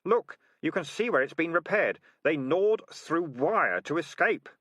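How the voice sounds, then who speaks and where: A man's voice: on the high side, lively intonation, measured pace, a bit bright, dry recording, clean studio sound, pitched above the performer's own voice. A man voicing an earnest young boy who speaks firmly. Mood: explaining. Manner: firm, earnest.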